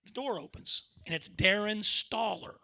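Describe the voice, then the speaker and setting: Middle-aged man, Southern Arkansas accent, higher pitch, very sharp bright tone, folksy rambling storytelling, coach-like. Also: unhurried, creaky, slight background noise. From Arkansas, United States. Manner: folksy, rambling, repeats himself. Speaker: former basketball coach and commentator telling stories at a club talk. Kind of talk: animated informal storytelling.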